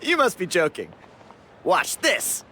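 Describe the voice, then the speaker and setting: A man's voice: high-pitched, wildly swooping pitch, slow pace, pushed and bright, slight background noise, full character voice. A man voicing a cocky, friendly young festival dancer in a video game, breezy and boastful. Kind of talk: English video game voice acting.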